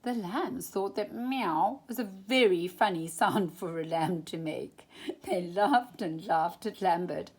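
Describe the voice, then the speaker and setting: A woman storyteller reading a children's storybook aloud, expressive and emphatic, drawing out key words. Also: fairly low pitch, takes it slowly, husky.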